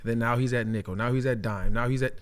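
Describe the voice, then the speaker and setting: A man's voice: medium pitch, steady tone, fast. A man talking casually as an ex-player turned analyst, making emphatic points and often checking in with "right?". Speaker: former player and coach, football analyst. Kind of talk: casual sports talk.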